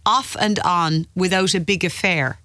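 A woman hosting a radio call-in, briskly reading out listeners' questions. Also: fairly low pitch, pushed and bright, no echo, studio quality. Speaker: radio presenter.

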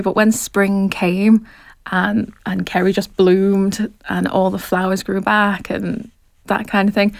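A woman's voice: medium pitch, vocal fry, no echo, clean studio sound. A woman in her thirties with a Liverpool accent, a business founder answering interview questions with chatty enthusiasm. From Liverpool, England. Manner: enthusiastic, chatty, laughs easily.

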